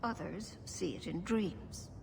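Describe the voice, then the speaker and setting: An actress playing a wise, grave elven keeper in a video game, formal and measured. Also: female voice, lowish voice, creaky. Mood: grave, instructive. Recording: noisy game ambience behind.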